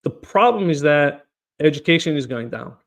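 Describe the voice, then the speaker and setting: A man's voice: a little high, lively intonation, moderate pace. A man from Portugal, a political candidate answering interview questions in an earnest way, often repeating words as he starts a phrase. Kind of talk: podcast interview.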